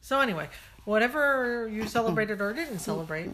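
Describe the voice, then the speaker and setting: A woman's voice: a little high, lively intonation, fairly quick, crowd noise, low-quality recording. A woman co-hosting a podcast in casual chat, talkative and laughing often, trailing off mid-sentence. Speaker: podcast co-host.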